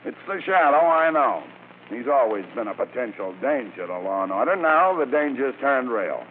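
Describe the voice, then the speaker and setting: An actor playing a gruff, sleepless, sarcastic police commissioner in an old-time radio drama. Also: male voice, medium pitch, very animated, normal speed, phone-quality sound, lightly acted. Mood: grimly determined. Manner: sarcastic, emphatic, grumbling. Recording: scratchy old radio recording, thin, noisy.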